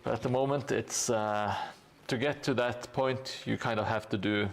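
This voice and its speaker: A man streaming live, chatting casually and thanking viewers while he paints, with a relaxed, hesitant delivery full of fillers. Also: mid-range voice, normal speed, creaky.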